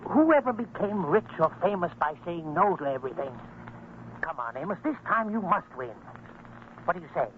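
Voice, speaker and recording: A wheedling gambler in an old radio play, high-pitched and insistent. His delivery swings widely as he coaxes. The sound is thin and tinny. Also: big pitch swings, moderate pace, a little hiss or hum. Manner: insistent, persuasive. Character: pushy, wheedling male gambler tempting a friend. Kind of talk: old-time radio drama acting.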